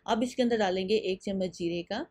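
A woman talks viewers through a recipe in a patient, instructive way, step by step. Her voice is mellow and soft-edged. The sound is close and dry, with no room around it. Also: mid-range voice, a little fast, no echo. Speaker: cooking channel host.

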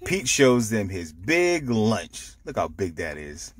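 A man reading a picture book aloud to children, explaining it simply with animated asides. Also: lowish voice, slow pace. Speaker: storytime reader.

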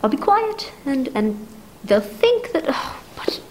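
A woman's voice: high voice, big pitch swings, slow pace, darkish tone, a little hiss or hum, heavily acted. A young woman playing a frantic, demanding invalid wife in a 1940s-style radio play, stammering and repeating herself as she pleads. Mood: fearful.

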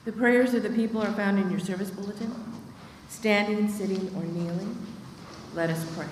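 A woman's voice: mid-range voice, fairly even intonation, moderate pace, noisy background. A middle-aged woman at a church lectern, steadily reading the prayers of the people and a long list of names. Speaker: lay reader leading prayers. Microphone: lectern microphone. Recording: echoey church from far off.

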